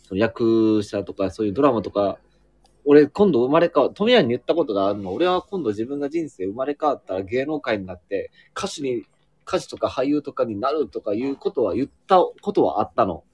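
A man in casual, reflective group chat, speaking haltingly as he searches for his words. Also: medium pitch, big pitch swings, darkish tone, slightly rough, dry recording. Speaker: acting student, guest in a group chat.

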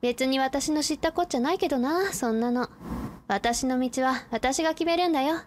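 A woman playing a cool, blunt tomboy high school girl in a radio drama, with brusque, boyish speech. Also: moderate pace, close to an everyday voice. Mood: self-assured. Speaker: supporting actor. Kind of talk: anime-like radio drama acting. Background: ambient noise.

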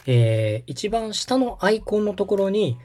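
A man's voice: high voice, very animated, unhurried, warm tone. A man hosting a podcast as a polite instructor, explaining things step by step. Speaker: podcast host and radio director, giving instructions. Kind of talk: step-by-step app tutorial over background music.

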